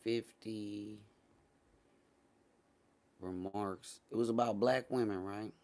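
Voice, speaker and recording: A man's voice: fairly low pitch, steady tone, slow, a little mellow. A man hosting a casual live stream, chatting with viewers in a slangy, boastful, teasing way.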